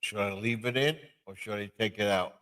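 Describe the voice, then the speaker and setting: Low-voiced man hosting a casual livestream. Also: fairly bright tone. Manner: repetitive, halting. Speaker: livestream host.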